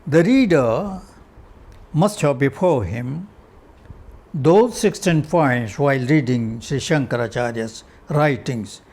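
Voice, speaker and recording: A man with an Indian accent reading a scholarly article aloud in deliberate, halting short phrases, his voice husky. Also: mid-range voice, lively intonation, darkish tone. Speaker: reader of a philosophy article. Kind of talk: read-aloud scholarly article with Sanskrit verse.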